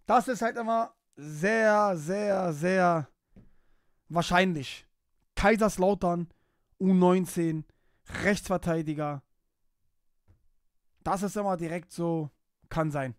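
A young man streaming from a small room at home, talking close into a boom mic as he reacts to a video. He talks casually, repeats himself and laughs quickly. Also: no room sound. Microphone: boom mic close in front.

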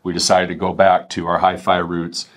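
A man's voice: low-pitched, monotone, normal speed. A middle-aged man giving an informal product explanation in a conversational way, as a company representative. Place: small indoor demo room.

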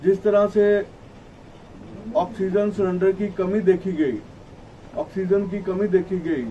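A middle-aged man gives a formal, halting press conference statement as a federation spokesman, his voice slightly muffled by a mask. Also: sits high, fairly even intonation. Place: small indoor office at a press conference. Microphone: cluster of table microphones, camera at some distance. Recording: distant mic, noisy room ambience.

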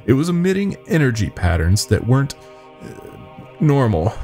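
A man voicing a nervous young company worker confessing secrets in a halting, grave horror-story telling. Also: mid-range voice, big pitch swings, dark tone, faint music behind, voice pushed up. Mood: uneasy.

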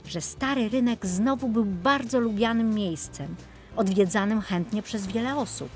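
A woman narrates a children's tale with playful expression. Her medium-pitched voice swoops and dips with lively intonation. Soft music plays behind her. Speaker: storyteller narrator. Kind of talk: scripted children's story narration.

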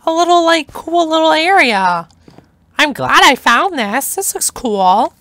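Young woman, hoarse, chatty let's-play host; high, bright voice, dry close sound. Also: big pitch swings, a little slow, no echo. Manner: chatty, enthusiastic, rambling. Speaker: gameplay narrator. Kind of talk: casual let's-play commentary.

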